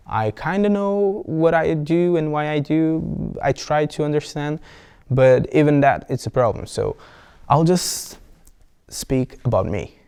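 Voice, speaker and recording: A man's voice: mid-range voice, vocal fry, darkish tone. A young man with a Brazilian accent, rambling playfully with many restarts as he talks to camera. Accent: Brazilian Portuguese. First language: Portuguese. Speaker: vlogger. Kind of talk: casual talk to camera.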